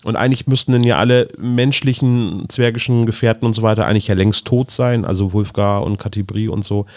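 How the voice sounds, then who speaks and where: A man's voice: on the low side, steady tone, a little fast, darkish tone, no echo, studio quality. A man co-hosting a podcast, explaining things in a rambling, casual way. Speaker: podcast co-host.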